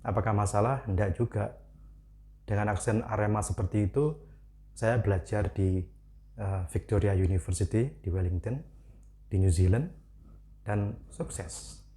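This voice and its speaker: A man's voice: on the low side, measured pace, pauses often. A man from Malang with a Javanese accent, giving a casual, chatty talk to camera with frequent fillers. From Malang, East Java, Indonesia. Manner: relaxed, chatty, frequent "ya" fillers, light laughs. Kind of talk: casual spoken commentary.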